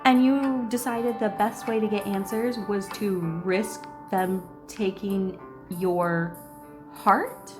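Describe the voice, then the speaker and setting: A woman doing casual game commentary and reading lines aloud, expressive, blunt and sassy. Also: medium pitch, slow, over music. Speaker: video creator reacting to a game.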